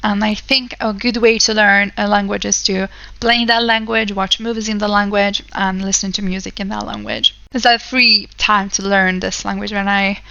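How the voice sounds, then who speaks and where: Young non-native woman, rambling game commentary host; bright, crisp voice, dry close mic, slightly dull sound. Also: medium pitch, moderate pace, hardly any pauses, no room sound. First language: not English. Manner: chatty, rambling, many fillers. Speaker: let's play host. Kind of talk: casual gameplay commentary.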